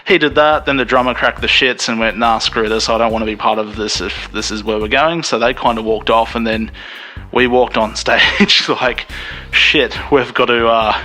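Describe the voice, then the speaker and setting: Australian man, radio host, bright forceful voice, laughs through stories. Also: medium pitch, steady tone, moderate pace, faint music behind. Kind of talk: casual interview chat.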